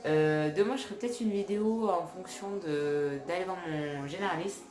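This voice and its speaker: A woman's voice: on the low side, moderate pace, darkish tone, roomy sound, faint music behind. A young woman from France with a stuffy head cold, chatting casually to her phone camera in a rambling way, full of fillers. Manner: rambling, many fillers and restarts. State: congested with a cold. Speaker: vlogger. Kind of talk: casual vlog talk to camera.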